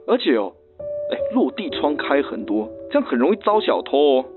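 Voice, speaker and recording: Over a thin phone line with plucked music behind, a chatty, nagging man in a radio drama. His mid-pitched voice swings up and down as he fusses in colloquial, drawn-out phrases. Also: very animated. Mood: fussy, chatty. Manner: colloquial, drawn-out sentence particles. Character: chatty, nagging man phoning about a house. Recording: tinny phone line under guitar music.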